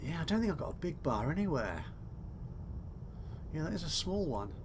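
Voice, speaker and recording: A man's voice: fairly high pitch, big pitch swings, normal speed, background noise. A man giving casual let's play commentary, chatty and thinking aloud as he goes. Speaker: gaming video host.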